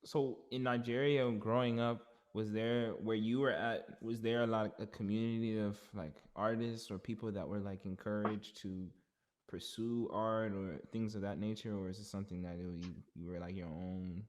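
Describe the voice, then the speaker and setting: A man's voice: on the low side, steady tone, moderate pace. Laid-back male podcast host. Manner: laid-back, quiet questions and short agreements like "yeah, bro". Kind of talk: casual conversational interview.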